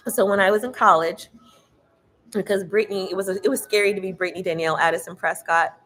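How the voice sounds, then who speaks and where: A woman reads tarot cards in a loud voice that carries even when she tries to keep it down. She speaks in a casual, emphatic, sermon-like way and swears freely. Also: mid-range voice, fast. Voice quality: loud, carrying voice. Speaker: tarot reader. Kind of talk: casual spiritual tarot reading.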